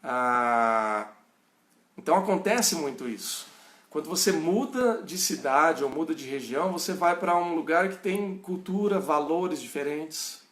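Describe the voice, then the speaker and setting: A middle-aged pastor with a caipira accent from the São Paulo and Minas Gerais countryside, with a somewhat high voice for a man. He teaches in a relaxed, conversational way, often checking in with his listeners. The room around him is echoey. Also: measured pace. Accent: rural São Paulo and Minas Gerais Brazilian. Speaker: pastor teaching a Bible study. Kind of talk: informal teaching answering viewers' questions.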